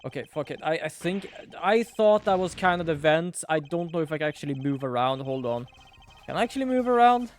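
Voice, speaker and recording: A man's voice: on the high side, lively intonation, fairly quick. A man streaming a game, thinking aloud in casual, rambling commentary and swearing now and then.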